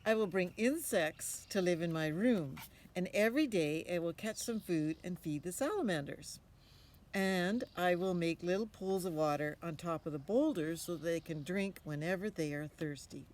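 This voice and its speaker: A woman's voice: fairly low pitch, normal speed, darkish tone, close to an everyday voice. An eager young storybook boy, acted out by an older woman reader. He is earnest and emphatic, confident in his answers. There is some outdoor noise behind the voice. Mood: eager, assured. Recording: outdoor ambience with noticeable background noise.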